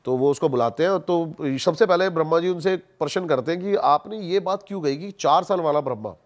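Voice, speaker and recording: Emphatic, higher-voiced man. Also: a little fast. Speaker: podcast guest. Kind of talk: casual podcast chat, storytelling and explaining.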